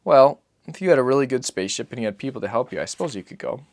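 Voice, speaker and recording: A man reading as a weary, patient father who gives terse, measured answers in an audiobook. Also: mid-range voice, lively intonation, a little mellow, pitched above the performer's own voice, natural voice. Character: weary, patient father, guarded survivor answering his son.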